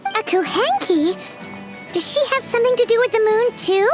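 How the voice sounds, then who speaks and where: A woman's voice: very high-pitched, lively intonation, moderate pace. A woman voicing a tiny, chatty female companion sprite who talks about herself in the third person, in video game dialogue with music behind. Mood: curious.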